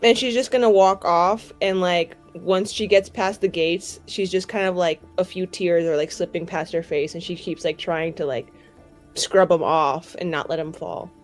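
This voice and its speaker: A woman's voice: lowish voice, steady tone, normal speed, faint music behind. A woman talking casually as a tabletop game player, improvising what her character does.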